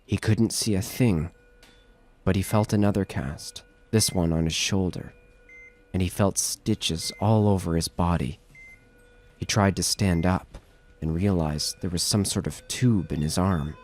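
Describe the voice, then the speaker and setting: A man narrating a scripted horror story in a measured, dramatic storytelling manner. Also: low-pitched, darkish tone. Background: music.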